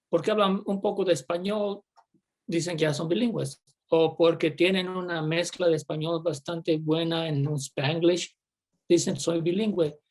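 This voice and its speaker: A panel moderator poses long, winding questions to the speakers. The speech hesitates often, with fillers and repeated words, and sits at a middle pitch. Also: darkish tone.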